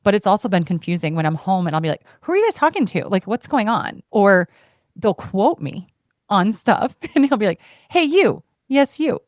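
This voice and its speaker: A woman's voice: medium pitch, normal speed, no echo, clean studio sound. A woman in her thirties hosting a podcast, chatting casually and passionately, playful, putting on voices when she quotes her family. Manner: passionate and expressive, heart on sleeve. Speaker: podcast host. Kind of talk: casual conversation.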